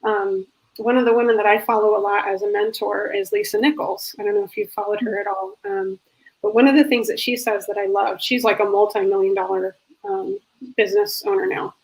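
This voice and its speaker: A woman's voice: medium pitch, expressive, brisk, gravelly, a little mellow, poor audio. A woman hosting a casual video interview, upbeat and encouraging, with lots of ums and you-knows. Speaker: host.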